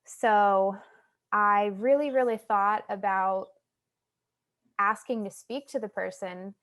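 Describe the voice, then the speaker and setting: A young woman with an American accent, a guest talking about her own experiences in a relaxed, chatty way with many fillers. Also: mid-range voice, takes it slowly. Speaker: guest, stuttering advocate. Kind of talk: casual interview chat.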